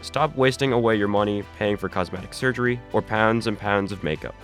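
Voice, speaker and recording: A man's voice: lowish voice, a little fast, fairly bright tone, soft background music, close to an everyday voice. A man playing a tech company's head engineer, presenting a gadget in a salesmanlike, instructive infomercial style. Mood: polished sales pitch.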